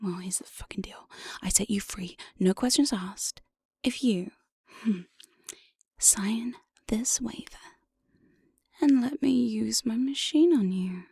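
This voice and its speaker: A woman playing an evil, playful demon jailer in an ASMR roleplay, breathy and often whispering, with a sly, mock-sweet menace, close on the microphone. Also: a little high, takes it slowly, pauses often, dark tone, no room sound, full character voice. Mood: coaxing, sly. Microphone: close binaural studio microphone, dry.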